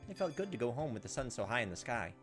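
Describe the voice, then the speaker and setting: Man reading aloud with lively pitch swings. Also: quick pace, soft background music. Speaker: let's play host. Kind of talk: reading a visual novel aloud with casual commentary.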